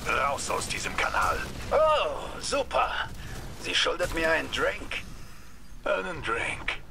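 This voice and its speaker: A man's voice: a little high, huge pitch swings, slow, creaky, noisy background, exaggerated voice. A man voicing a cocky, quippy fighter on a rescue mission in a dubbed video game. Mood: cocky, playful.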